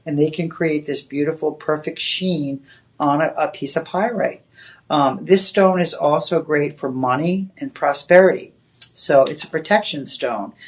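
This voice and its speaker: A woman's voice: low-pitched, darkish tone. Chatty Boston woman selling on TV. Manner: chatty, rambling, with many "you know"s. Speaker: home shopping host. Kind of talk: live on-air sales pitch. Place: TV shopping studio.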